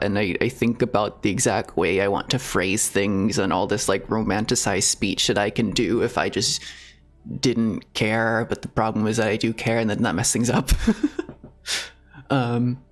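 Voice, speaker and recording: A man chatting casually on a livestream in a halting, rambling, giggly way. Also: lowish voice, steady tone. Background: video game music.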